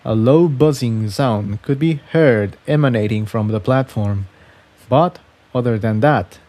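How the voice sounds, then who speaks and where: A man narrating a scripted adventure story as a reader of fan fiction, with a somewhat halting delivery that stumbles over words. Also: mid-range voice, a little mellow.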